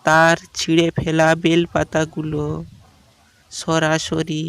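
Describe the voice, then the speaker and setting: A woman's voice: low-pitched, completely flat delivery, slow, clean tone. A woman narrating a scripted cartoon story.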